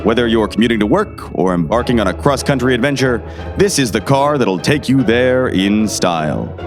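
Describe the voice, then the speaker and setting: A man's voice: mid-range voice, expressive, normal speed, over music, close to an everyday voice. A man playing an upbeat, slick car salesman in a 1960s-style radio commercial. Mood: peppy, salesy.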